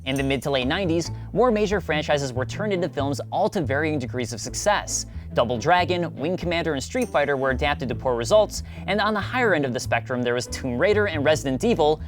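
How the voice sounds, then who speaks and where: Lively male video essay narrator over music. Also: medium pitch, brisk, few pauses. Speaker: video host. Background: background music.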